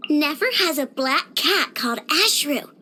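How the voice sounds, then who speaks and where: Game role: child genius inventor, very high, bright voice, boastful and bubbly. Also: female voice, expressive, unhurried, a little hiss or hum, heavily acted. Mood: chatty, indignant. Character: cheerful young girl genius inventor, proud and childlike. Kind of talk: scripted video game character voice lines.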